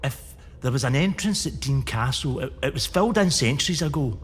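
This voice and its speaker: A man's voice: medium pitch, animated, brisk, breathy edge. A teasing, cautious boy. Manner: cautious then mocking. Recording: distant, with long reverb and faint hiss.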